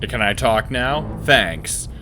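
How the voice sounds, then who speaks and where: A man's voice: on the low side, expressive, takes it slowly, pushed and bright, soft background music. A man giving casual, reactive commentary while playing a game, with wry, mock-aggrieved asides. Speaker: game streamer, let's play commentator.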